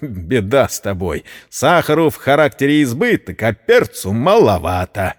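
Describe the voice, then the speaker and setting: A narrator doing a teasing brother, animated and reverberant. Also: male voice, mid-range voice, moderate pace. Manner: teasing, familiar. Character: teasing, affectionate brother needling his sister.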